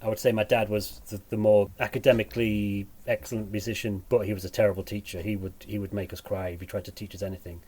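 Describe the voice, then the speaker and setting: A man with a northern English accent tinged with Sheffield, chatting as a podcast guest in a halting, wry way. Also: fairly low pitch, flat delivery, warm tone. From England. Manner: halting, self-correcting, dryly wry. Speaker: podcast guest, musician and teacher. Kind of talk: casual podcast conversation.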